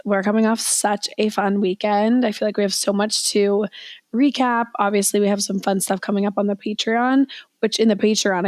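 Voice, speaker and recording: A young woman co-hosting a podcast, hoarse and raspy from losing her voice, chatting casually with lots of "like" fillers. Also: medium pitch, no echo. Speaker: podcast co-host. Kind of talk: casual chat.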